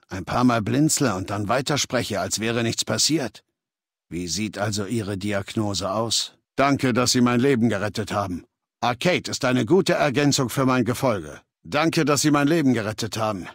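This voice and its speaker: Video game legion leader: ruthless, commanding male, gravelly, recorded very dry and close. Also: mid-range voice, dry recording. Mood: grave, measured. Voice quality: gravelly, husky. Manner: authoritative, deliberate, imperious. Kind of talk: dramatic video game voice acting, German dub. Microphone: close, dry studio recording.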